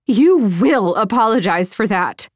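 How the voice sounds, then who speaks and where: A woman's voice: medium pitch, lively intonation, a little slow, no room sound, clean studio sound. A woman voicing a stubborn, fiery young woman healer in an audiobook, with a defiant, strident manner. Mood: furious shouting. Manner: indignant and strident.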